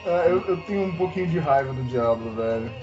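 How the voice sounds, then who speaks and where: A man's voice: medium pitch, lively intonation, a little fast. A Brazilian man who is a guest on a casual group chat, joking in a slangy drawl. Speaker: podcast guest. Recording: dull lo-fi audio with loud music behind.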